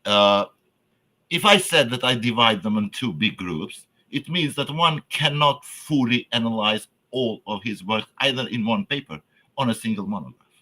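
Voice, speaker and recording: A man's voice: medium pitch, lively intonation, moderate pace, bright, forceful tone, low-quality recording. A man whose first language is Albanian gives an academic lecture in English, hesitant and searching for words, with frequent fillers and restarts.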